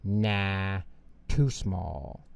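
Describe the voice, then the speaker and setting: Man playing a self-assured, creaky lady doctor. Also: sits low, drawn-out words, darkish tone, full character voice. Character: brisk, needle-happy, self-assured female doctor.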